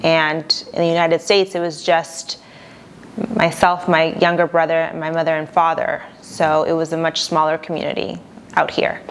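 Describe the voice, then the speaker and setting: A young woman attorney from Argentina answering interview questions in a calm, measured, plain-spoken way. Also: deep voice, slight background noise.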